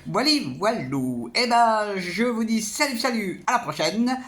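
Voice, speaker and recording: Man, somewhat high-pitched, casual film vlogger, hesitant, halting, repetitive phrasing. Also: fairly bright tone. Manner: hesitant, halting, repeats words. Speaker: amateur film reviewer, vlogger. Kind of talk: casual spoken film review to camera.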